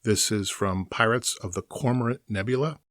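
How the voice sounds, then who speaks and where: A man introducing audio drama clips in a plain, measured way. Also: fairly low pitch, a bit bright, no echo, clean studio sound. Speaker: host. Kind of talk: memorial introduction.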